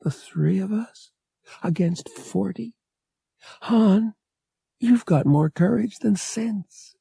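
A man voicing a frightened, doubtful young woman passenger, breathy and anxious, in an audiobook. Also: high voice, slow, warm tone, no echo, voice pushed up, exaggerated voice. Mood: incredulous.

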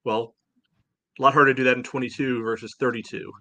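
A man's voice: medium pitch, steady tone, quick pace, pushed and bright, dry recording. Male sports podcast host, opinionated and emphatic, peppers speech with "you know", dry recording. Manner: emphatic, blunt, indignant; frequent "you know" filler.

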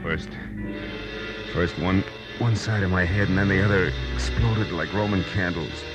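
An actor playing a tough, wisecracking American private detective in a 1940s radio noir drama, with clipped, sardonic delivery. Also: male voice, sits low, measured pace, over loud music. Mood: wry narrating. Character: hard-boiled, wisecracking male private detective, world-weary and tough. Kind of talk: 1940s radio noir acting and first-person narration.

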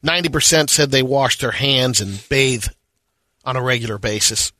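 A man co-hosting a radio show, reading news items in a steady, matter-of-fact way. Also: mid-range voice, normal speed, no echo.

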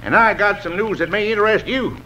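An actor playing a stubborn, suspicious Old West sheriff with an American Western accent and a bossy, folksy manner in an old radio drama. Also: male voice, sits high, a little fast, pushed and bright, slight background noise, poor audio. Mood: stern. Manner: gruff, bossy, folksy grammar.

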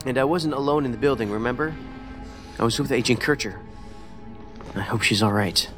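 A man performs a composed, sardonic survivor in a science fiction audio drama. His medium-pitched voice rambles with dry wit, and music plays clearly beneath it. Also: brisk, lightly acted. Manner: dry humour, wordy musing. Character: wry, talkative trapped man, calm and sardonic. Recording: music under the voice.

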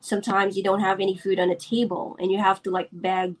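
A woman's voice: mid-range voice, level tone, a little fast. A woman from the Philippines, a business owner being interviewed, hesitant with many fillers and restarts, over a video call. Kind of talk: casual interview.

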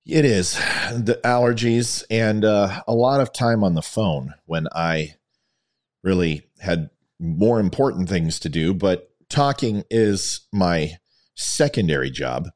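Male commentary host, lower register, lightly worn and scratchy, emphatic theatrical delivery. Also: animated, slow pace, a little mellow. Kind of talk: opinion commentary monologue to an audience.

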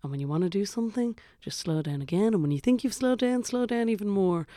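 Irish guest, reflective chatty talk, smooth resonant tone, dry close-mic sound. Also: medium pitch, fast talker, dry recording. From Ireland. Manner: reflective, chatty, shifts between soft and lively. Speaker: guest, theatre performer and playwright. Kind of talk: casual podcast conversation.

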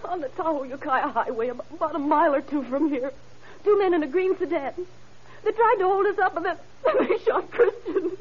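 A woman's voice: high voice, brisk, natural voice. An actress playing a frantic, tearful young woman grieving her wounded sweetheart in an old radio drama. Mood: hysterical. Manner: breathless, tearful, melodramatic. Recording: muffled, noisy old radio recording.

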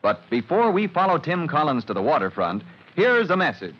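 A man's voice: on the high side, very animated, normal speed. A man announcing an old-time radio adventure serial in a theatrical, urgent style. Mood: dramatic, urgent. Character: male announcer of a children's firefighter adventure serial. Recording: dull, hissy vintage radio recording.

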